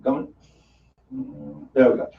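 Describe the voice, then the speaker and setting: Argentine-accented man, chatty presenter. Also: unhurried. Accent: Argentine Spanish. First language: Spanish. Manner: chatty, loose, many fillers and restarts. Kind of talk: casual presentation to an audience. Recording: distant, dull sound in an echoey room.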